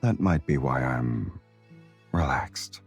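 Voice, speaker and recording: A man playing a calm, confident, teasing romantic hero in a game, speaking in a composed, intimate way. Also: extremely low pitch, slow, creaky, exaggerated voice. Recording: music behind the voice.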